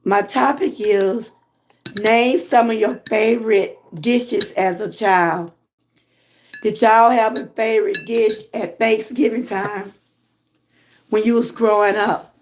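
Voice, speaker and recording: A Southern woman from Georgia with a hoarse voice, chatting casually with viewers on a live stream from home. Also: medium pitch, takes it slowly, a little hiss or hum. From Georgia, USA. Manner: relaxed, chatty, greets viewers often. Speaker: lifestyle vlogger and channel host.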